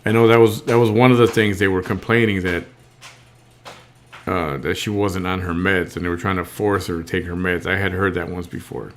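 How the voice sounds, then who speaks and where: A man with a somewhat low voice hosts a relaxed live-stream show. He talks in a halting, unscripted way, restarting and repeating words as he works out what he thinks. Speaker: host. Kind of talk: casual live-stream chat.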